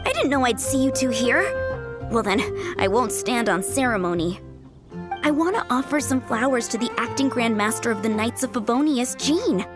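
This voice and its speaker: A woman's voice: on the high side, animated, moderate pace, music in the background, natural voice. A woman voicing a polite, forthright young townswoman in a video game, courteous and direct. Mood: polite, frank. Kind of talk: video game character acting.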